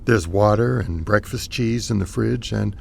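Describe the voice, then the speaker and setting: A man playing a suave, smitten cruise ship captain with a gravelly voice, languid and flirtatious, in an audio drama. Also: fairly low pitch, measured pace, a little mellow, slight background noise. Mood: flirty, tender. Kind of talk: audio drama comedy acting.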